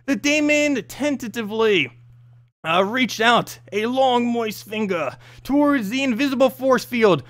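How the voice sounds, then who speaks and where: A man's voice: very high-pitched, expressive, measured pace, fairly bright tone. A man hosting a channel and reading a story aloud in an amateur, casual way, stumbling now and then. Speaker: channel host and story reader.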